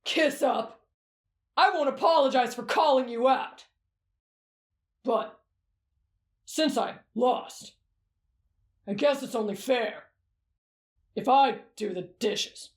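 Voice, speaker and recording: A woman's voice: on the high side, slow, pauses often, reverberant. A woman voicing a brash, cocky teenage boy fighter who talks bluntly and picks fights. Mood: grudging.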